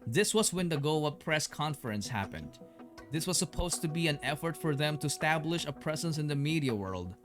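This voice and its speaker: A man narrating a scripted documentary story in a measured, storytelling way. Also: a little high, expressive. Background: music.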